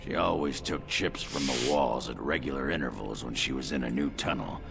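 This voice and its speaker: An actor playing a gruff, coarse dwarf warrior with a gravelly voice in a fantasy video game. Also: male voice, a bass voice, normal speed, music in the background. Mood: gruff, explaining. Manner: blunt, coarse.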